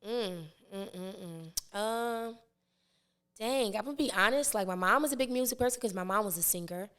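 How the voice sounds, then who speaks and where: Raspy young woman chatting loosely. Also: mid-range voice, normal speed, a bit bright. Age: 20s. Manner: rambling, many fillers and restarts. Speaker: rapper and podcast guest. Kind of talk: casual interview chat.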